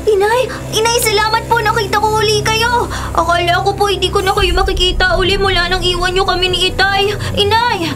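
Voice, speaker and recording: A woman's voice: very high-pitched, little pitch movement, fairly quick, over music. A woman playing a sad, earnest young boy in a radio drama, polite and pleading. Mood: pleading, hurt. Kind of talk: Filipino radio drama acting.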